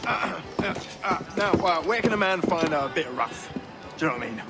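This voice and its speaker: A man playing a cheeky, lecherous pirate sidekick with a halting delivery in a video game. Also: high-pitched, expressive, gravelly. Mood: leering, halting. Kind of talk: video game cutscene acting. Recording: loud music behind dialogue.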